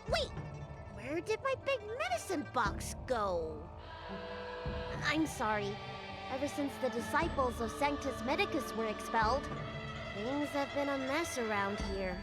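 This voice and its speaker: A high-pitched, bouncy young girl healer from a video game. She is excitable and easily flustered, stammering and swinging widely in pitch. Her delivery is unhurried, with loud game music behind her. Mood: earnest, busy. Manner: expressive, stammering when flustered.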